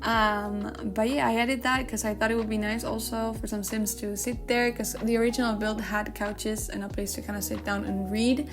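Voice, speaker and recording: A woman with a fairly high voice chats casually over background music as she narrates a gaming build. Her first language is Spanish, and she talks informally, interrupting herself as she looks for words. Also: little pitch movement, normal speed, hardly any pauses. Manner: informal, self-interrupting, searching for English words. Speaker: gaming video creator. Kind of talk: casual, chatty build commentary.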